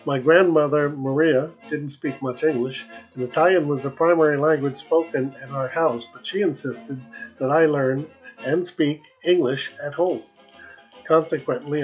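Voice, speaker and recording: A man's voice: mid-range voice, highs cut off. A man in his seventies with an American accent, narrating his own personal travel video. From United States. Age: 70s.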